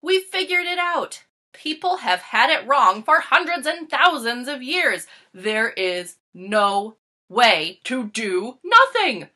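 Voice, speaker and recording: A woman playing an excitable, bossy young boy full of schemes, reading a picture book with emphatic, dramatic delivery. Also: on the high side, slow pace, pitched above the performer's own voice, heavily acted. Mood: triumphant.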